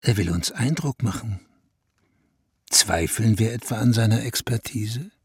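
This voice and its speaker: An actor playing a weary, regal prince-elector in a German radio drama, musing in a stately way with a husky, gravelly voice. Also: male voice, lowish voice, unhurried, dry recording, clean studio sound, full character voice. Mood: jaded, aloof. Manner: stately, musing, speaks in royal plural.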